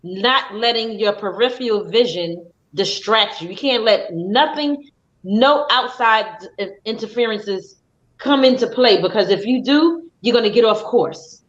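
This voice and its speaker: A woman hosting a casual live stream, chatty and quick to agree, often restarting her sentences. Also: fairly high pitch. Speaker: host of a live talk stream. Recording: slightly dull lo-fi stream audio.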